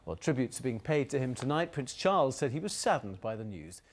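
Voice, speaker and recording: Male news anchor, mid-pitched formal delivery. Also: very animated, fast. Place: TV news studio.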